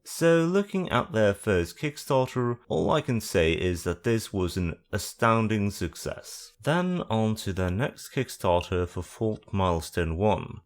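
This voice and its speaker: Sarcastic low-voiced man with lively delivery. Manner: dry sarcastic asides. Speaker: video essay host. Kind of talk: sarcastic video commentary.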